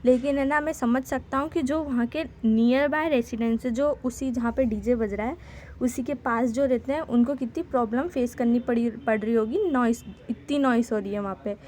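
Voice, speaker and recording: A woman with an Indian accent, a native Hindi speaker, explaining a lesson as an English teacher, slowing to a halting word-by-word read for English text. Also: fairly high pitch, a little mellow. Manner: halting, slow word-by-word when reading English.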